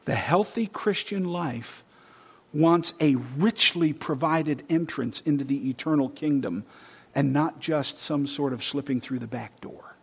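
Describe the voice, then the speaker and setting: A male pastor preaching a sermon, reading scripture loudly and dropping to soft, hushed whispers. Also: fairly high pitch, a little slow, vocal fry, breathy edge. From Texas.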